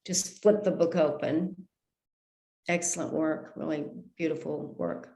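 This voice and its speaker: Lowish-voiced woman in an echoey room. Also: steady tone, unhurried, gravelly, warm tone. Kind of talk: casual spoken praise.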